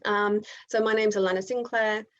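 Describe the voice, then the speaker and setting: An Australian woman hosts an online event over a video call, with many ums and self-corrections as she goes. Her voice sits mid-pitch. The audio is a little dull and lo-fi. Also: fairly even intonation, moderate pace. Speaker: event host.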